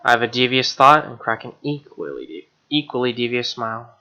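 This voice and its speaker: A man's voice: medium pitch, lively intonation, fairly quick, bright, forceful tone, lo-fi sound. A young man reading a visual novel's narration aloud with casual asides as he plays. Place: small home room, indoors. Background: game music.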